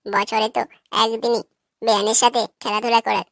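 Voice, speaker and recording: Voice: medium pitch, level tone, a little fast, creaky, a bit bright, no echo, studio quality. A performer voicing a grumpy, lecherous old village grandpa in a cartoon, drawling and scolding. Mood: grumbling.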